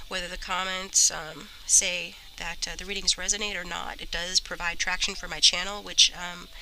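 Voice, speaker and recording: Woman with a cold doing a chatty tarot reading, lowish voice, really bright and sharp, stuffy and a bit rough. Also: rough recording. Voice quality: a little rough. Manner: chatty, many fillers and restarts. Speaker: tarot reader. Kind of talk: casual tarot reading to camera.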